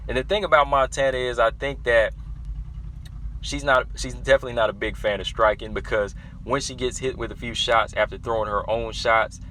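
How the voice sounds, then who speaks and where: A young man casually breaking down a fight for his viewers, animated and talking straight to them as y'all. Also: medium pitch, fairly quick, a little hiss or hum. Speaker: MMA analysis vlogger.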